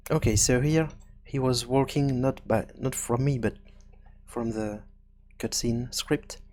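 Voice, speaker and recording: A man hosting a casual screencast programming tutorial, thinking aloud in a halting way and often repeating words as he goes. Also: medium pitch, a little mellow. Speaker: tutorial host and game developer.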